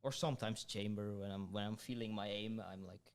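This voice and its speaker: A man's voice: on the low side, fairly even intonation, fairly quick, clear, resonant tone. Young male host, casual gaming chat, stammers, lots of "uh" and "like". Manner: hesitant, stammering, lots of fillers. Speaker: podcast host.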